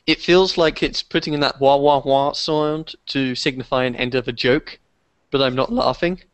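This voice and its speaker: A man in a casual, analytical podcast discussion, talking in a measured, dry, tentative manner. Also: medium pitch, clean tone, no room sound. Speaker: podcast panelist.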